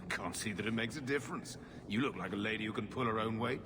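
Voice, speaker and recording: A gruff middle-aged fixer uncle voiced by a male actor, blunt and world-weary, with a fairly low voice. It is video game dialogue over loud background ambience. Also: fairly quick, background noise, lightly acted. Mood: weary, dismissive. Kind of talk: video game cutscene acting.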